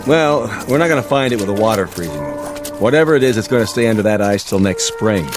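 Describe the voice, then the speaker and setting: Man as curious patrolman, animated delivery. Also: fairly low pitch, quick pace, over music, close to an everyday voice. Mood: puzzled. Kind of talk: naturalistic radio drama acting.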